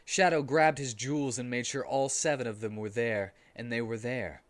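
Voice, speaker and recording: A man streaming a comic dramatic reading with casual commentary, sounding amused and animated. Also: mid-range voice, normal speed.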